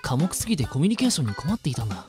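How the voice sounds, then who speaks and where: A man's voice: medium pitch, sing-song, rapid-fire, darkish tone, faint music behind, lightly acted. A man playing a stiff, pompous student council officer in an amateur voice drama, speaking in formal, old-fashioned phrases. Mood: scheming.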